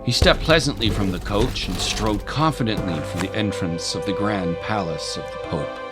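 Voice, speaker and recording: A man's voice: on the low side, normal speed. A man narrating a dramatic scripted story as an audio drama's storyteller. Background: loud music.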